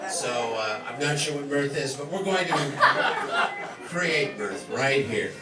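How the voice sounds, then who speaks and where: A man's voice: mid-range voice, animated, takes it slowly. A man announcing a live show as master of ceremonies, jovial and joking. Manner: joking, chuckling. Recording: roomy distant stage recording, music behind.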